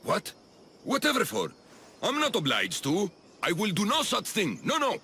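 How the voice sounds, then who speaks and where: An actor playing an eccentric, obsessive doctor in a video game, fussy and jittery, doting and often repeating himself. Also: male voice, high voice, huge pitch swings, moderate pace, pushed and bright, a little hiss or hum, exaggerated voice. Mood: indignant. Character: eccentric obsessive male doctor doting on his human pets. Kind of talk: video game character acting.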